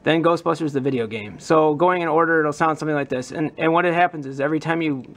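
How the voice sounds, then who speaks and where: A man's voice: a little high, quick pace. A man presenting a prop modding demonstration in an informal, enthusiastic, casual explanatory way. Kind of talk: casual explanatory hobby vlog.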